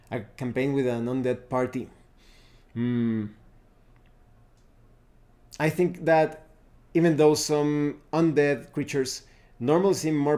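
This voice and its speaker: A man giving a casual, unscripted review of roleplaying games, pausing now and then to search for words. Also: mid-range voice, mellow. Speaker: tabletop roleplaying game reviewer.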